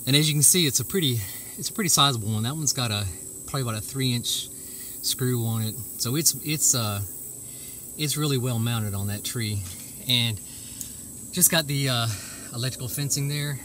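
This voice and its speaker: A man's voice: mid-range voice, moderate pace, sharp tone. A man giving a casual, plainspoken, folksy how-to explanation, recorded outdoors on a handheld camera. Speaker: homesteader giving a how-to demonstration. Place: outdoors in a rural yard. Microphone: handheld camera or phone mic. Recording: outdoor ambient noise with insect chirping.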